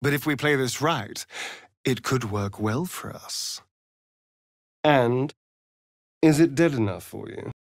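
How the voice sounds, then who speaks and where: An actor playing a sardonic, vain vampire rogue in a video game, with an arch, theatrical, drawling delivery. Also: male voice, medium pitch, slow, pauses often, dry recording, clean studio sound. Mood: arch, amused. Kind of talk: video game character lines.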